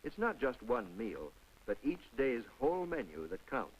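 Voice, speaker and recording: A man's voice: medium pitch, sing-song, normal speed, husky, poor audio. A man narrating a scripted 1950s public information film in a folksy, instructive way, with conversational asides to the viewer. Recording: vintage 1950s film soundtrack.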